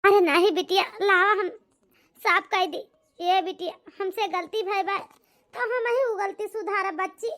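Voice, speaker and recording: Woman doing old awadhi village mom for a cartoon, super high sharp pitched-up voice, humble, pleading, sorry all the time. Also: steady tone, measured pace. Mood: apologetic, pleading. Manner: pleading, repeatedly addressing her daughter tenderly. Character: humble, apologetic old village mother, meek and self-sacrificing. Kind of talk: amateur cartoon comedy-drama acting.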